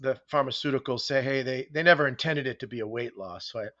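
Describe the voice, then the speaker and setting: A man's voice: mid-range voice, quick pace, pushed and bright, no room sound. A man with a Boston accent co-hosting a casual podcast, chatty and jokey, often turning questions to his co-host. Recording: dull, dry podcast audio.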